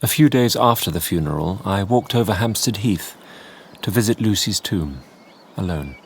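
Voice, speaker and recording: An actor playing a sober, educated Victorian doctor, narrating in a measured, restrained and formal way in a radio drama. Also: male voice, fairly low pitch, animated, slightly rough.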